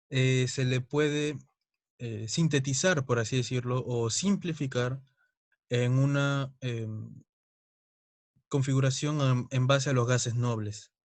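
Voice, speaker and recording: A man's voice: mid-range voice, measured pace, darkish tone, no echo. A young man teaching a chemistry lesson, explaining step by step and often checking in with his students. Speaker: chemistry tutor. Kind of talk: recorded online lesson.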